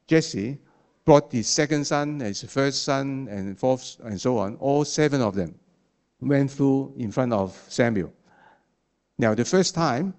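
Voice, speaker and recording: A man preaching to his congregation in English, his second language. He speaks haltingly, restarting words and leaning on fillers, and he often checks in with a quick "okay?" at the end of a point. His voice sits at an ordinary pitch for a man. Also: a little mellow. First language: not English. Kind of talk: sermon.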